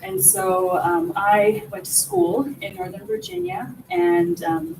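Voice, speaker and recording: A woman in her forties from Peru, raised in the US, presents her research in an earnest, explanatory way, her voice pitched slightly high. The recording comes from a roomy conference hall. The mic sits well away from her, and steady background noise runs under her speech. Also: slow pace, recorded from a distance. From born in Peru, raised in the US. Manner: earnest, explanatory, builds points step by step. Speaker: assistant professor presenting research.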